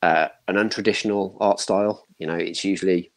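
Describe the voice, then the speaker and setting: A man's voice: low-pitched, fairly even intonation, brisk. A man in his thirties chatting casually as a panel guest, giving opinions in short broken bursts. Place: home room, indoors, video call.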